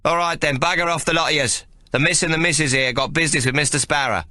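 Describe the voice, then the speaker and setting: A man voicing a curt, self-important young gang leader giving rough slangy orders. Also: a little high, steady tone, fast talker, sharp tone, no room sound, clean studio sound, pitched above the performer's own voice. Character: gruff, unsmiling gang youth Johnny Terrible, self-important.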